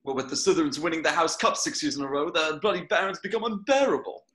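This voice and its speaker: A man's voice: fairly high pitch, fast, a bit bright, close to an everyday voice. A man playing a dignified, gloomy, touchy castle ghost in a haughty, mournful way for a script read. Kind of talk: table read of a film script.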